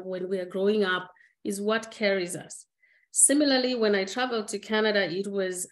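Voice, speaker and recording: Middle-aged woman from Zimbabwe, reflective, earnest, hesitant restarts, video call, mid pitch. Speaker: guest speaker. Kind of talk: candid personal conversation. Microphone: webinar video call.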